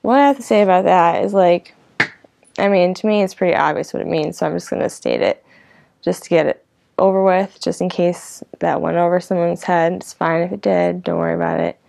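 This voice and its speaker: A woman's voice: lowish voice, fairly even intonation, brisk, vocal fry, warm tone. A woman hosting her own show, thinking aloud in an informal, meandering way full of "like" and "you know". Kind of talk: casual rambling vlog reflection.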